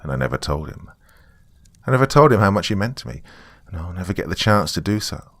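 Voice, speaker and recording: A man's voice: sits low, very animated, quick pace, a bit bright, no room sound. A man who narrates horror stories and hosts, speaking as a storyteller. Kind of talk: dramatic first-person story narration and casual sign-off.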